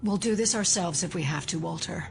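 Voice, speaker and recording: An actress playing a resolute, analytical female FBI agent in an audio drama, explaining things seriously and deliberately. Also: lowish voice, gravelly, soft background music.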